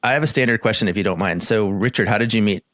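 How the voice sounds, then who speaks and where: A man's voice: lowish voice, very fast, a bit bright, no room sound, clean studio sound. A man co-hosting a podcast, easygoing, asking his standard interview questions. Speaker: podcast co-host.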